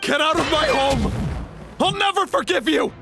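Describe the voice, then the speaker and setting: A young warrior in a video game, desperate and delirious. His voice is high for a man and intensely declaimed, with music clearly behind it. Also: expressive, normal speed. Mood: furious despair.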